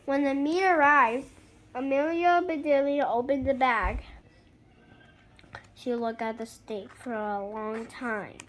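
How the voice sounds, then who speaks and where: A young girl reading a picture book aloud in an animated, halting way, stopping to react and ask questions. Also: high voice, slight background noise. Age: young child. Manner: halting over harder words, interjecting reactions and questions to listeners. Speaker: child reader.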